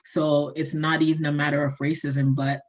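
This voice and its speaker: A woman's voice: deep voice, level tone, moderate pace, a little mellow, poor audio. A woman from Jamaica, speaking in a casual discussion, reflective and repeating her points. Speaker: panel participant.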